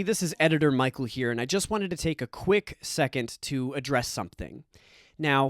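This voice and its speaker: A man with a fairly high voice speaks as a podcast editor. He addresses listeners politely and conversationally, in a close, dry recording. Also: no room sound. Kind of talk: spoken announcement to listeners.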